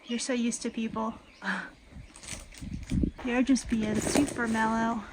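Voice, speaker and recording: A woman's voice: a little high, little pitch movement, slow pace, very noisy. A middle-aged American woman chatting casually and informally to camera as a hiking vlogger, rambling a little as she goes. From United States.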